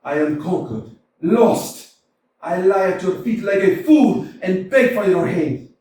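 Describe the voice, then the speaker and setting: An actor playing a hot-tempered middle-aged landowner in a staged comedy reading, swinging from mutters to loud outbursts. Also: male voice, high-pitched, animated, slow. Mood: passionate. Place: community theater stage. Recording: echoey hall, mic from far off.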